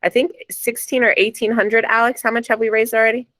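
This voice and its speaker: Raspy-voiced woman hosting a meeting. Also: a little high, little pitch movement, fairly quick, fairly bright tone, dry recording. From New Jersey. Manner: chatty, upbeat, frequent ums. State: raspy this morning. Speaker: sales meeting host. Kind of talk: casual meeting announcements.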